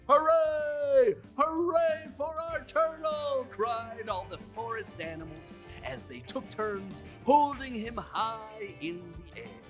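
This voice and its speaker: A woman narrating children's stories in a theatrical, expressive way, with drawn-out phrasing, gasps and chuckles. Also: sits high. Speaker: children's storyteller. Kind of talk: animated storybook narration for young children.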